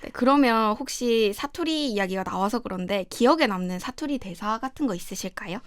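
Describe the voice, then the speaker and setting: Lively woman in her twenties. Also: a little high, brisk, no echo, studio quality. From Busan, South Korea. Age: 20s. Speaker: radio DJ. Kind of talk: casual radio chat.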